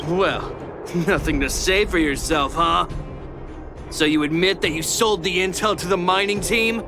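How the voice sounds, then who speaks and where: Sardonic game thug, high-pitched, taunting bully of the mines. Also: male voice, unhurried. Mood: mocking. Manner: taunting, mocking. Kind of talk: video game voice acting. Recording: game music behind.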